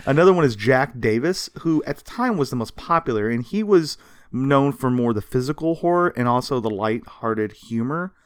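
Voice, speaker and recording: A man's voice: medium pitch, lively intonation, normal speed, clean tone, no echo, studio quality. A man co-hosting a podcast, explaining history in a casual, conversational way with informal quoted asides. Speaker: podcast co-host.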